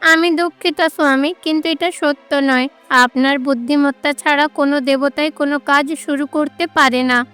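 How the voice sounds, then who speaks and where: A woman's voice: high-pitched, fairly even intonation, normal speed, a bit bright, faint music behind. A woman voicing a small, loyal cartoon mouse who pleads and consoles with reverence. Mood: concerned, consoling. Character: small devoted mouse, loyal servant and mount of a god.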